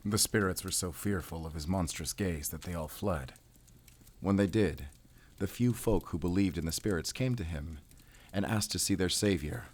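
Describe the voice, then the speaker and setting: A man playing a brooding, world-weary werewolf hunter in an audio roleplay, speaking in a measured, solemn, introspective way. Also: sits low. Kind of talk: cinematic audio roleplay acting.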